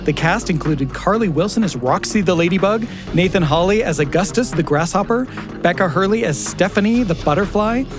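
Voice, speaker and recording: An American man co-hosting a podcast, speaking in a casual, reflective way at a close studio microphone. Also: fairly high pitch. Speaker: podcast producer and co-host. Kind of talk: casual reflective chat and credit reading.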